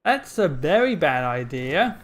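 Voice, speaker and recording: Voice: medium pitch, sing-song, measured pace. A streamer giving casual gameplay commentary, muttering reactions and repeating phrases.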